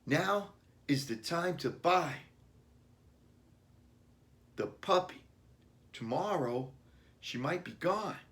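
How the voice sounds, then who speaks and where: An eager ten-year-old boy in a children's storybook, acted out by a man, pitched well up. He is excitable and pleading, and he pushes his words out with breathless urgency. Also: slow pace, long pauses. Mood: upset, urgent.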